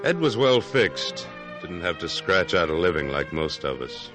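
A steady, plain-spoken rancher in a 1950s radio Western. His American voice is on the low side, firm and measured, with faint music at times. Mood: reflective narration. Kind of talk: 1950s Western radio drama acting and narration.

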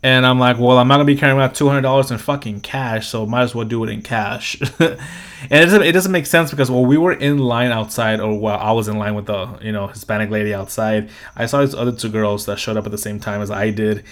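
A man's voice: mid-range voice, steady tone, quick pace, fairly bright tone. A young man hosting a solo podcast, talking in a casual, rambling way, swearing freely and laughing often. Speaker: podcast host.

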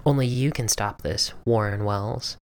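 A man's voice: on the low side, measured pace. A man voicing a cold, cruel demonic woman in a story reading, with a harsh, crackling delivery.

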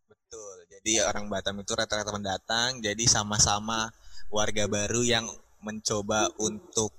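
A young man talks in an easy, slangy way and often pauses on fillers. His voice sits low, but it has a bright, forceful edge. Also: poor audio. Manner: relaxed slangy talk with fillers. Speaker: panel guest. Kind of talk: casual chat.

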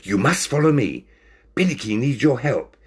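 A bold, playful storybook she-cat, put on by a man, lifted high in pitch. She is brisk and bossy, with a lively swooping delivery. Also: husky. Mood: urgent. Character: bold, playful tailless female tortoiseshell cat.

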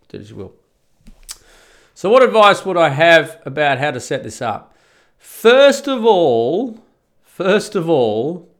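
A man's voice: mid-range voice, a bit bright. An Australian man talking in a blunt, chatty way, with lots of restarts and the odd swear word, giving advice in a casual monologue.

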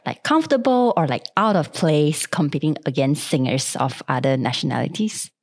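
A woman interviewer with a notably low voice asks long, rambling questions. She chimes in often with yeahs to back up the guest. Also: lively intonation, moderate pace. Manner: chatty, with many affirming yeahs. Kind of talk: casual interview chat.

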